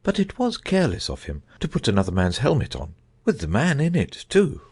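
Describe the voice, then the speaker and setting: A man playing an old knight, solemn, dreamy, rambling, husky, wildly lilting, storybook. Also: a little fast, exaggerated voice. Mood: musing. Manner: rambling, thoughtful, lively pitch swings.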